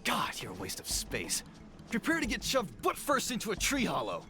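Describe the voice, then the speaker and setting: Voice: medium pitch, wildly swooping pitch, moderate pace. A sneering, cowardly thief. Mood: taunting. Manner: taunting, then pleading. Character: cowardly young bandit, sneering. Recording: game audio with music underneath.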